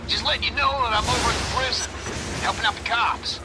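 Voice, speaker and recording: A man's voice: very high voice, fairly even intonation, fast, loud music behind the voice. An actor playing a chatty, scrappy male sidekick in a video game, talking informally and eagerly. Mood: casual, upbeat.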